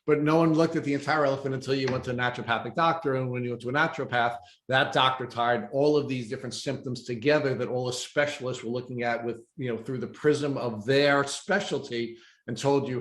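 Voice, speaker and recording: Host, male, mid-pitched, wordy lead-ins, roomy echo around the voice. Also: fairly quick, a bit bright. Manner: long, wordy framing of questions. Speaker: interview host. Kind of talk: interview questioning. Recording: roomy, echoey sound.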